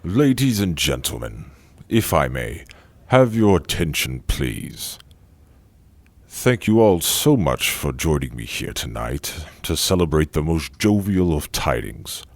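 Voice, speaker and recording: An actor playing a wealthy, jovial and silkily sinister banquet host in an audio drama, with grandiose, savoring delivery. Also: male voice, very animated, takes it slowly, dry recording, full character voice. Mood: grandly gracious. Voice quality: deep, gravelly.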